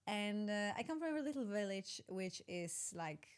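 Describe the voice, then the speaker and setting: A woman's voice: medium pitch, expressive, moderate pace, clear, resonant tone. A woman in her forties, from Poland with Polish as her first language, talking reflectively as a podcast guest, searching for words and correcting herself. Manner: reflective, searching, self-correcting, soft laughs. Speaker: guest, executive coach. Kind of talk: conversational interview.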